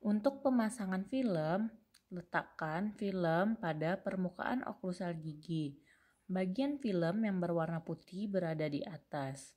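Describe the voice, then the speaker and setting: A woman's voice: fairly low pitch, lively intonation, unhurried, mellow. A young adult woman, reading scripted instructional narration as a student presenter.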